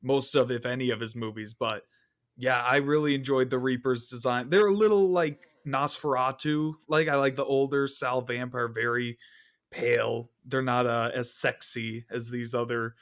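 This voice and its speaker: A man's voice: mid-range voice, fairly even intonation, moderate pace, fairly bright tone. A man chatting informally as a podcast co-host in a casual film discussion.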